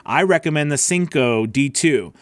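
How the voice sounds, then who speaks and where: A man's voice: on the high side, expressive, normal speed, dry recording. A man hosting a casual livestream Q&A as a voice-over coach, rambling with many fillers and restarts.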